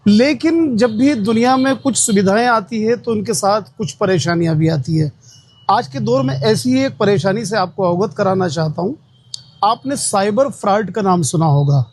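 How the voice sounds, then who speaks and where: High-voiced, husky man giving a public appeal. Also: lively intonation, moderate pace, a little mellow, no room sound. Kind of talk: public awareness appeal speaking to camera.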